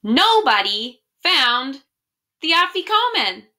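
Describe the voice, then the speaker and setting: A woman's voice: high voice, very animated, slow, pushed and bright. A young adult woman reading a picture book aloud expressively and chatting casually to children from a small room at home. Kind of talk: animated read-aloud and casual address to children.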